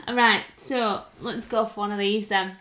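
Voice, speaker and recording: A woman's voice: fairly high pitch, pushed and bright. A woman co-hosting a podcast, reading out listener questions and giggling in casual chat. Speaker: podcast co-host. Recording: echoey room.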